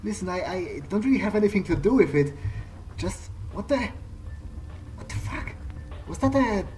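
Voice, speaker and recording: High-voiced man playing a game in character. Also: animated, normal speed, a little mellow. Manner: hesitant, muttering to himself, sweary. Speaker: let's player. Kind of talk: in-character improvised game narration. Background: game soundtrack music.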